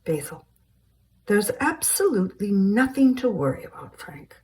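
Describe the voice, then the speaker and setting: A woman's voice: medium pitch, very animated, measured pace, darkish tone, slight background noise, pitched above the performer's own voice. A woman voicing a fussy, nervous British man with a British accent, fretting as he speaks. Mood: fretful.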